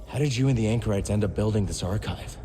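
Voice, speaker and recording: A man's voice: on the low side, fast talker, mellow, close to an everyday voice. An actor playing an earnest, guarded young man, a Jedi fighter in a video game, speaking in an understated way. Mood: curious, relaxed. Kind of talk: cinematic video game acting. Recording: long reverb, faint music behind.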